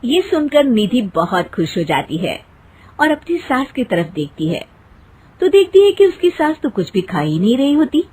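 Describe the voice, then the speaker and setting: A woman's voice: high voice, big pitch swings, moderate pace, warm tone, breathy edge. A woman narrator telling a scripted cartoon family story in the present tense.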